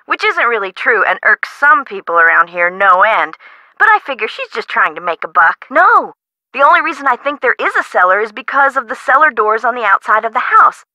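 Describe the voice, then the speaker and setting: An expressive, bright-voiced anxious woman homeowner. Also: on the high side, dry recording, rough recording. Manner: emotive, expressive, colloquial. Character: frightened, dramatic woman homeowner, chatty and friendly. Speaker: voice actress in an adventure video game. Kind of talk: game character dialogue acting.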